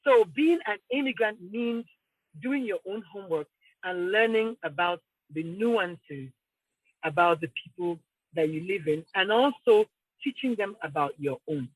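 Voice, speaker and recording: A woman's voice: medium pitch, expressive, unhurried, husky, no room sound, low-quality recording. A middle-aged woman with a Nigerian accent, talking confidently and emphatically as a podcast guest. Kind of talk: casual conversation.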